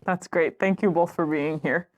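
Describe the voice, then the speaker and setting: A woman's voice: on the low side, fairly even intonation, normal speed. A young adult woman, a student, hosting an information session and prompting her guests with friendly, encouraging remarks. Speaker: host.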